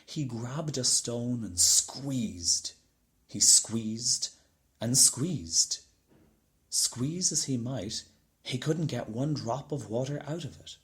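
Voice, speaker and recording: A man reading a children's storybook aloud in an expressive narrating style. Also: medium pitch, slow pace. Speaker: storybook reader.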